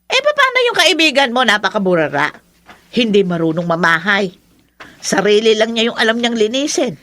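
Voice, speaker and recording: A woman's voice: fairly high pitch, very animated, normal speed, fairly bright tone, no room sound. Bitter nagging older filipina mother-in-law, radio soap, voice swings all over, very dry sound. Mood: bitter, dismissive. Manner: nagging, blunt, scornful. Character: spiteful, fault-finding older mother-in-law. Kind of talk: Filipino radio drama acting.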